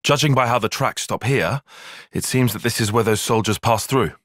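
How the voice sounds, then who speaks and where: A man playing a young, analytical soldier tactician in a video game, speaking in precise, formal, measured phrases. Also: mid-range voice, a bit bright, dry recording, studio quality, close to an everyday voice. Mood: analytical, composed. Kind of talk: video game cutscene voice acting.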